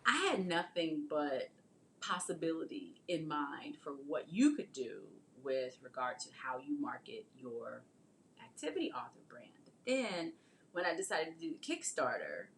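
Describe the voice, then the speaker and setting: Slightly creaky woman host, faint rumbling noise. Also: big pitch swings, moderate pace. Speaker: host, marketing strategist and children's book author. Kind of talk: casual interview chat with storytelling.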